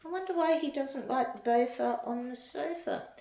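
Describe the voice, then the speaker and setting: An older woman, a grandmother, reads a rhyming children's storybook aloud in an unhurried lilt, chatting to young listeners in asides. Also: high voice, creaky, mellow. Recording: echoey room.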